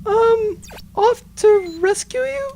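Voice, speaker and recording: Man putting on a very high, flustered young woman. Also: takes it slowly, gravelly, darkish tone, slight background noise, heavily acted. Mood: awkward. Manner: hesitant, nervous. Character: flustered, eager young female starship crew member. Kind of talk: comedic audio drama acting.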